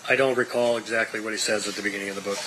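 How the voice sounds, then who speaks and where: An American man testifying as a witness in court, measured and explanatory. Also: lowish voice, steady tone. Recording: clear background noise.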